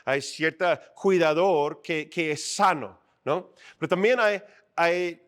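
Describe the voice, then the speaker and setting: Animated pastor, a man with a fairly high voice. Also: slow pace, smooth. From outside Mexico. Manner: conversational, animated, acts out little scenes and asks "¿no?". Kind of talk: sermon. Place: church stage.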